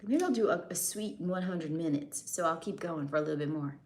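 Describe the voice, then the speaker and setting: A woman's voice: low voice, a little fast, darkish tone. Chatty American woman live streamer. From United States. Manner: chatty, answers chat comments, laughs often. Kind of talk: casual live-stream chat.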